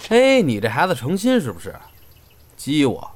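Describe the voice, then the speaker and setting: A man's voice: on the high side, wildly swooping pitch, normal speed. A man playing a good-natured but blunt senior comedy apprentice in an audio drama, bantering. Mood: teasing. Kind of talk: audio drama acting, colloquial dialogue.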